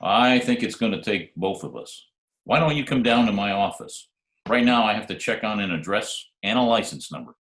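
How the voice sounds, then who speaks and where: An actor playing a wisecracking, sardonic private detective who also narrates, in a 1940s-style radio drama. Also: male voice, lowish voice, expressive, moderate pace, fairly bright tone. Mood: dry. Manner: dry, sardonic. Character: wisecracking hard-boiled private detective, narrating his case. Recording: roomy remote home recording, faint music.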